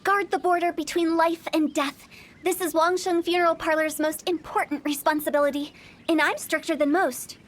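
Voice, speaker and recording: A woman's voice: extremely high pitch, fairly even intonation, moderate pace, a little hiss or hum. A young woman voicing a playful, mischievous female funeral director in a video game, teasing and mock-spooky. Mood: solemn.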